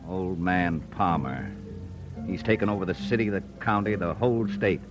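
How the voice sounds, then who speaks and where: An actor playing a wry, defiant American frontier editor with a folksy manner in an old radio Western. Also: male voice, deep voice, moderate pace, music in the background, close to an everyday voice. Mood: folksy recounting. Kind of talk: old-time radio Western drama acting.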